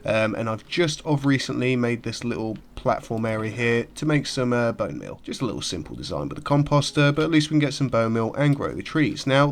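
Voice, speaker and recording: A man giving casual, rambling commentary while playing a game, sounding nasal from a cold. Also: mid-range voice. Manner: chatty, rambling with fillers. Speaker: gaming YouTuber. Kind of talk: casual let's-play commentary.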